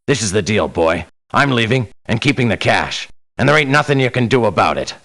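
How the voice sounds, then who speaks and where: Casting: menacing veteran soldier of fortune for a game, gravelly, hard-edged delivery, blunt and belittling. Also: male voice, lowish voice, sing-song, a little fast, fairly bright tone, heavily acted. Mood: angry, threatening. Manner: blunt, folksy, talks down to the listener. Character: gruff, menacing veteran mercenary man, stern and condescending. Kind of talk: video game character voice lines.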